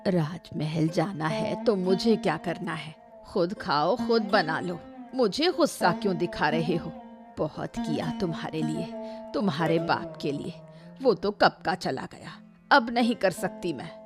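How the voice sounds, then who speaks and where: Woman acting a slow-talking, grumbling old mother. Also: fairly low pitch, animated, over music. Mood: irritated, complaining. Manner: scolding, complaining, excuse-making. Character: lazy, grumbling elderly mother of a grown son. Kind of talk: Hindi cartoon moral-story dubbing.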